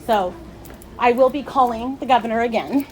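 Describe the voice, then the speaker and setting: A woman with a loud voice, firmly and insistently arguing with hospital staff, recorded on a phone. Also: a little high, moderate pace, slight background noise. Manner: firm, insistent, argumentative. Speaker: patient's companion, filming a confrontation. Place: hospital unit and hallway. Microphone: handheld phone recording.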